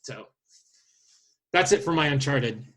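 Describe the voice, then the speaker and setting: A man's voice: medium pitch, expressive, unhurried, fairly bright tone. A man speaking informally as a panelist in a podcast conversation. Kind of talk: casual podcast chat.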